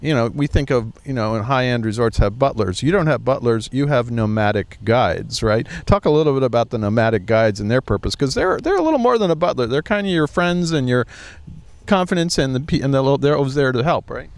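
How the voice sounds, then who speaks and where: A man's voice: mid-range voice, animated, fairly quick. A man conducting a casual interview in a chatty, rambling way, often searching for words. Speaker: travel trade interviewer.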